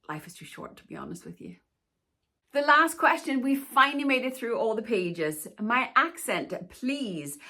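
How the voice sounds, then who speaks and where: A woman's voice: medium pitch, pushed and bright. A Danish-born woman, a fashion stylist answering viewer questions in a chatty, reassuring way. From Denmark. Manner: chatty and reassuring, often says "to be honest with you". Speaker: plus-size fashion stylist and YouTuber. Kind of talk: casual Q&A vlog chat.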